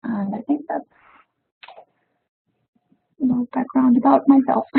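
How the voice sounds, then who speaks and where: A woman's voice: sits high, slow, gravelly, a little hiss or hum. A woman from Germany, a library science student, politely introducing herself on a webinar with frequent fillers. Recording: phone-like webinar audio.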